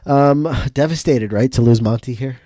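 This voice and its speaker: A man co-hosting a podcast in casual recap chat, sounding stuffy and tired, talking in a relaxed way that often trails off quietly. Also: medium pitch, moderate pace, dry recording, clean studio sound. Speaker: podcast co-host.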